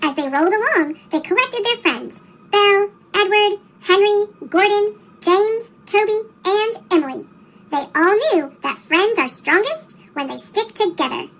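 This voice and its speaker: Very high chipmunk-voiced woman reading a story. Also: animated, unhurried, a bit bright, poor audio. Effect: sped-up chipmunk voice, childlike. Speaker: storybook reader. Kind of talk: scripted children's story read-aloud.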